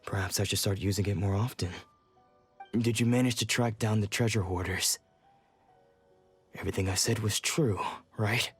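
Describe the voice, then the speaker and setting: A man voicing a proud, stern swordsman in a video game, with a husky voice and a terse, formal, brooding manner. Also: lowish voice, steady tone, normal speed, long pauses, soft background music. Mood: dry, curt. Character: stern amnesiac male swordsman, proud and puzzled. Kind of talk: scripted video game dialogue.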